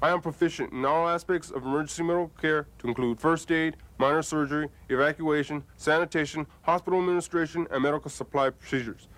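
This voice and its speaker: A man, an army medic, introduces himself in a scripted, businesslike way. He recites his skills quickly, and his voice sits a little high. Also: steady tone, fairly bright tone, rough recording. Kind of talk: scripted military self-introduction.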